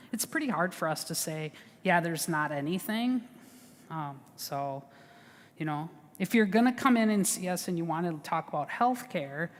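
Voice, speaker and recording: Woman benefits advisor, lower register, casual and reassuring, recorded in a reverberant hall. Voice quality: big voice. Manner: chatty, reassuring, many fillers and restarts. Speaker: veterans service officer. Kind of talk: informal advice talk.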